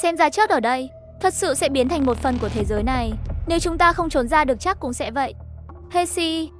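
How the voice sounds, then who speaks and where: A woman's voice: high voice, animated, fairly quick, faint music behind. A woman reading a scripted story, voicing the narration and all the dialogue herself. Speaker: narrator of an animated horror story recap.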